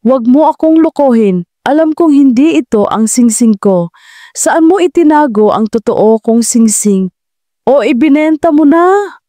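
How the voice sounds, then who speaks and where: A man's voice: very high voice, animated, a little slow, mellow, no echo, voice pushed up. A woman voicing a furious, betrayed wealthy man in an audio story, accusing and demanding. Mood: angry, accusing.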